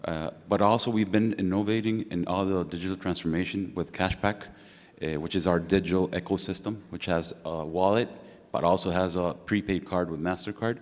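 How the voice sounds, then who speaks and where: A man's voice: deep voice, fairly even intonation, brisk. A man introducing his company as a panelist. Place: conference hall. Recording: echoey hall with long reverb.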